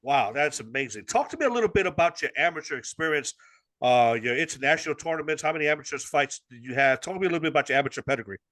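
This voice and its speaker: A man's voice: fairly high pitch, expressive, racing, fairly bright tone, poor audio. Chatty, upbeat male interviewer. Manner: enthusiastic, chatty. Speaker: interview host. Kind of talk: interview questions.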